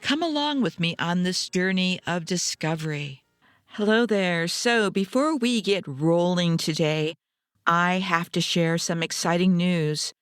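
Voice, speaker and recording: Female podcast host, American, conversational, crisp clean tone, dry recording. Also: on the low side, dry recording.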